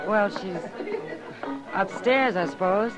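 A friendly saloon keeper, played by an actress, talks frankly with a gentle tease in a 1950s radio drama. Her mid-pitched voice sits under loud background music. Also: slow, lightly acted.

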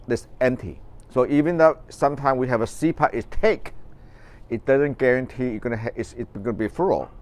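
Middle-aged Taiwanese-accented man, mid-pitched and smooth, hosts a relaxed orchid stream from a greenhouse, chatty and full of restarts. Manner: rambling, many fillers and restarts, gestures while talking. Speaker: orchid grower and show host. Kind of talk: casual live-stream talk. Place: large greenhouse.

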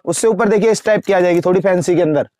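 A young man in a crowded cosmetics shop, rattling off wholesale prices per dozen and per piece in a rapid sales patter. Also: high voice, a little mellow, no room sound, studio quality. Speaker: wholesale shopkeeper. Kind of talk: sales pitch to camera.

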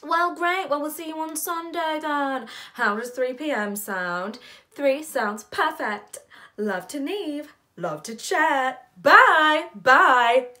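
Bright-voiced, unhurried, sardonic woman acted out. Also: mid-range voice, animated. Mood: mock cheerful. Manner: wry, dramatic storytelling with exaggerated quoted voices. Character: weary, sardonic, foul-mouthed woman with a bleak outlook. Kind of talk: theatrical monologue acting.

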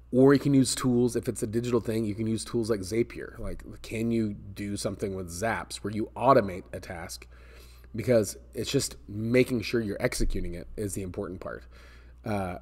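Male, American, 40s, slightly low voice; conversational coach delivering casual advice with emphatic repetition. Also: a little mellow. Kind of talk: casual advice talk to camera. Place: indoor office space with metal ceiling and glass garage door.

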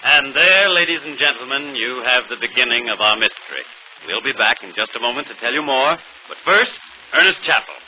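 An actor playing a genial, curious gentleman detective in a 1940s radio mystery. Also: mid-range voice, lively intonation, fairly quick, lightly acted. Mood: genial, presenting. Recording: muffled, faintly noisy old radio broadcast.

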